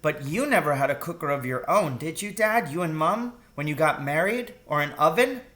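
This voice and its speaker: A man's voice: a little high, animated, moderate pace, pushed and bright, voice pushed up. A man voicing a curious, practical young boy in a children's story, asking earnest, doubtful questions. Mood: inquisitive.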